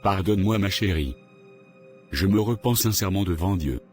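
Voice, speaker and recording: A man acts a repentant, once-greedy father in an animated drama. His voice is fairly deep and his delivery measured, as if owning up. Faint music plays behind him. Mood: contrite confession. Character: greedy, repentant middle-aged father confessing his wrongs. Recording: music under the voice.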